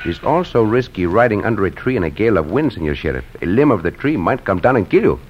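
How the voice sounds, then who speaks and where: An actor playing a bold, courteous Mexican cowboy hero with a formal, commanding manner and a Mexican accent, in an old-time radio western. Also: male voice, lowish voice, sing-song, fast talker, husky. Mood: resolute. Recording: noisy, muffled old radio drama recording.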